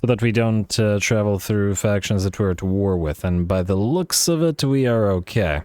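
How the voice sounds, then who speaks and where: A man thinking aloud over a strategy game, a little hoarse from losing his voice, with frequent fillers and restarts. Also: lowish voice, expressive, moderate pace, a little mellow, no echo, soft background music. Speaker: let's play host. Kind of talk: casual gameplay commentary.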